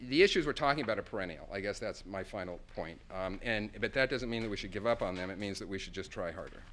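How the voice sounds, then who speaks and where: A man giving closing remarks as a roundtable panelist, earnest and halting, with many pauses and restarts. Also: on the low side, expressive, heavy background noise. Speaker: conference panelist.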